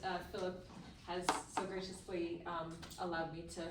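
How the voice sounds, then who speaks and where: A woman's voice: lowish voice, level tone, normal speed. Woman hosting in an echoey room. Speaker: board member and event host. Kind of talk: informal spoken introduction to a live audience. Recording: roomy, distant mic with faint noise.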